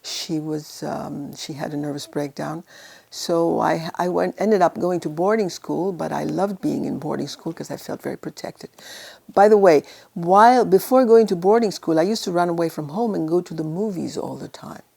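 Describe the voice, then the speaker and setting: Low gravelly older woman. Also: normal speed, a little mellow. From Italy. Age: 80s. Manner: rambling storyteller who laughs often. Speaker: actress interviewee. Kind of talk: casual life-story interview.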